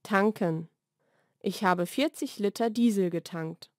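A woman reads short scripted vocabulary lesson sentences in a clearly enunciated, measured way. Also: medium pitch, no echo, clean studio sound. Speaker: language lesson narrator. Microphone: studio voice-over recording.

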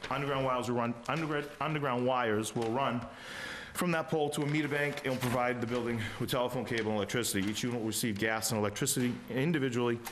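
A middle-aged man, presenting site plans to a town planning board, halting often with uhs and ums, speaking into a table microphone in a meeting room. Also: mid-range voice, steady tone, a bit bright, slight background noise. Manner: halting, many uhs and ums, reads plan details.

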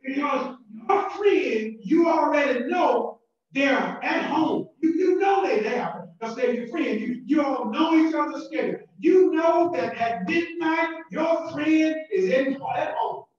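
A Southern American pastor preaches slowly, climbing into shouted emphasis and doubling his words as he builds. His voice sits high for a man. The recording is distant and muffled, and it is swamped by heavy church echo. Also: lively intonation, some chatter behind. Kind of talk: sermon. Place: church sanctuary.

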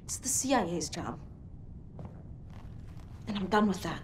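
An actress playing an embittered, defiant young woman in a dramatic video game, questioning in a sharp, confrontational way. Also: fairly low pitch, expressive, unhurried, a little hiss or hum. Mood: bitter, weary. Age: young adult.

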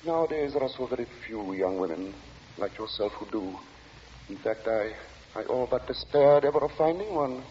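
A man playing a pious, brooding gentleman lodger in a 1940s radio drama, with a formal, preachy manner. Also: mid-range voice, lively intonation, moderate pace, in a large hall, close to an everyday voice. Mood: solemn, insinuating. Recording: dull, noisy 1940s radio recording.

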